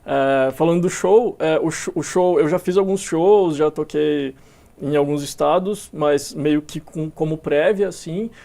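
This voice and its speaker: A young man from Minas Gerais, a musician being interviewed, talking in a relaxed way with many fillers and restarts. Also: on the high side, a little slow, creaky. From Minas Gerais, Brazil. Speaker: musician guest. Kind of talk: casual interview talk.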